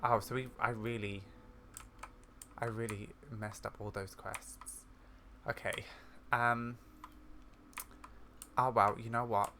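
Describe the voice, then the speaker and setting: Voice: medium pitch, flat delivery, slow pace, long pauses, sharp tone, slight background noise. A gamer talking casually through a let's play, close on a desk mic. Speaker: YouTube gamer. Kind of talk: casual let's play with dialogue read aloud.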